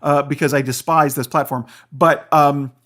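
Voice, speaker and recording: A man's voice: fairly high pitch, steady tone, normal speed, fairly bright tone. An American man speaking as an expert podcast guest, explaining conversationally and animatedly, often checking in with the listener. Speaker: guest expert, philosophy professor and author.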